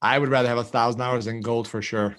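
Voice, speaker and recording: A man's voice: mid-range voice, fairly even intonation, fast, fairly bright tone. A man born in Greece whose first language is Greek, chatting as a podcast guest and often repeating words as he starts a thought. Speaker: podcast guest, marketing agency founder. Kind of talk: casual chat.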